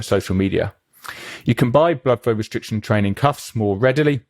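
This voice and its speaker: A man's voice: medium pitch, a little mellow, no echo, clean studio sound. A man hosting a podcast, introducing his guest and asking eager, chatty questions. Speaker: podcast host. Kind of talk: conversational interview.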